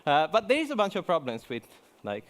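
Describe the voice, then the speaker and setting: A young man speaks to a conference audience in a relaxed, joking way. His voice sits a little high, and he often restarts his sentences and fills pauses with "uh" and "um". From Italy. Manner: chatty, many fillers and restarts. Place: conference hall.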